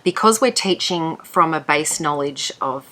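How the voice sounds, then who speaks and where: Lower-pitched woman giving clear explanations. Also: lively intonation, a little slow, fairly bright tone. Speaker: air traffic control training representative. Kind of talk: informative interview answers.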